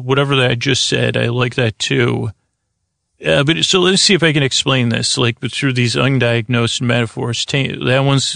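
Male bedtime podcast host, creaky voice, rambling improvised delivery, full of detours, very dry studio sound. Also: mid-range voice, a bit bright, dry recording. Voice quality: gravelly, creaky. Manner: meandering, halting, digressive, self-interrupting.

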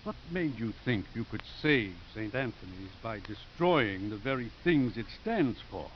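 An actor playing a feisty, righteous elderly priest in a 1950s radio drama. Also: male voice, on the low side, lively intonation, unhurried. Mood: stern, hurt. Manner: wry, righteous. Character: feisty elderly priest, earnest head of a college. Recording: muffled, hissy 1950s radio broadcast.

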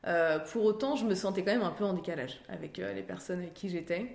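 A woman's voice: lowish voice, fast, gravelly, a little mellow. A woman, telling her story in a chatty, informal, conversational way with self-deprecating asides. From France. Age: 30s. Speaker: interview guest, career-change coach and author. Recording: roomy, echoing sound.

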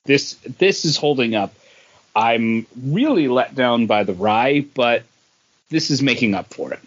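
A man's voice: mid-range voice, expressive, unhurried, muffled recording. A man co-hosting a podcast, chatting casually about comics and beer. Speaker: podcast host.

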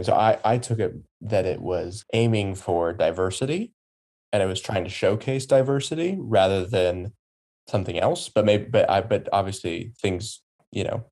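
A man discussing a film on a podcast in an earnest, conversational way. His voice is very deep and mellow. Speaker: podcast guest. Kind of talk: casual film review discussion.

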